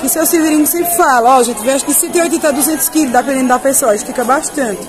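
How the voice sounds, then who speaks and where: Female market presenter, Northeastern Brazilian accent, high pitch, informal and chatty with viewers, recorded amid clear crowd bustle. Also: fast, over music. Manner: chatty, addressing viewers with repeated tag questions. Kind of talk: informal product showcase. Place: covered open-sided clothing market. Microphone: handheld camera, speaker behind it. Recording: busy crowd noise of covered market.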